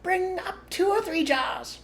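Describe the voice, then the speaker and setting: A man voicing a bossy farmer's wife calling out loudly from upstairs. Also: very high-pitched, takes it slowly, slight background noise, pitched above the performer's own voice, exaggerated voice.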